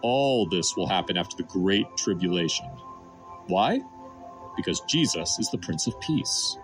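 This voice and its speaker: A man's voice: sits low, animated, a little slow, soft background music, poor audio. A man delivering scripted religious narration as a programme narrator.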